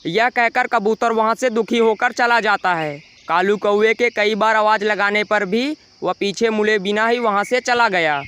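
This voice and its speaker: Very high-voiced smooth male story narrator. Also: a little slow, hardly any pauses, bright, forceful tone. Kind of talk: scripted children's story narration.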